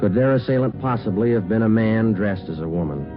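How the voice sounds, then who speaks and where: An actor playing a stoic police sergeant, narrating and talking in a clipped, matter-of-fact 1950s radio drama manner. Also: male voice, on the low side, expressive, brisk, music in the background, natural voice. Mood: dry, factual. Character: stoic, businesslike male police sergeant detective. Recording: muffled vintage radio broadcast.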